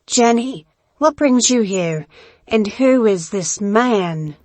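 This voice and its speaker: Woman as a slow, regal pirate queen. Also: mid-range voice, expressive, a little mellow, no echo. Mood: imperious questioning. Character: regal female pirate leader, questioning, composed. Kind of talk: audio drama acting.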